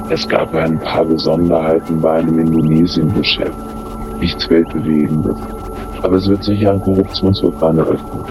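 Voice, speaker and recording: A man's voice: sits low, natural voice, low-quality recording. An actor playing a cool, calculating intelligence man in a radio thriller, detached and matter-of-fact. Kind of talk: radio drama acting.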